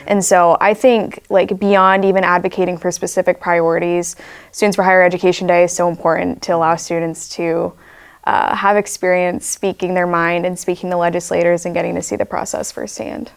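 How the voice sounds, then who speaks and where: Young woman with a low, even voice. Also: fairly quick, creaky, clean tone. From South Dakota. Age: young adult. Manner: thoughtful, earnest, with filler words like "like". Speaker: student government vice president. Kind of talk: interview answers.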